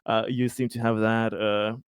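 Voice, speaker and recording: A courteous colony councilman, played by a man in a tabletop roleplay, eager to please. He talks haltingly and politely in a mid-pitched voice, rambling and repeating words, recorded close and dry. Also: lively intonation, a little mellow, no echo. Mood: friendly, encouraging. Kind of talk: improvised in-character tabletop dialogue.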